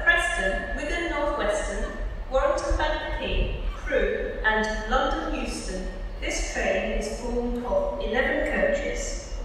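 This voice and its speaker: A woman's automated railway announcement voice, with measured, evenly spaced delivery, played over a station PA and recorded from a distance on the platform. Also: a little high, background noise. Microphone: phone or camera recording a PA speaker from afar. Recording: echoey station PA heard from far off. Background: station ambience.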